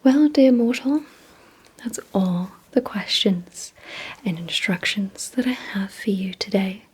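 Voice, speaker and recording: Female ASMR host, vampire roleplay: breathy whisper, mellow tone, deliberate and gently instructing delivery. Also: medium pitch, expressive. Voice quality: breathy, mostly unvoiced whisper.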